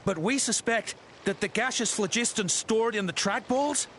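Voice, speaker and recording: A man's voice: sits high, moderate pace, background noise, lightly acted. A man voicing a cowardly, nervous henchman in a video game, hesitant and stammering as he tries to appease. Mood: nervous, deferential. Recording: game music behind the voice.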